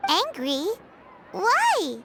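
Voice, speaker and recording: A woman voicing a small, wide-eyed, childlike companion creature in a video game, squeaky and exclamatory. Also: huge pitch swings, drawn-out words, exaggerated voice. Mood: surprised. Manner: exclamatory, easily amazed. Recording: loud background music.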